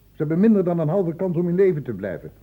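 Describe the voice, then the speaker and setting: An actor playing a grave, authoritative male doctor in a radio drama, speaking formally and deliberately. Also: a little high, sing-song. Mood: alarmed, urgent. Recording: narrow, noisy old radio recording.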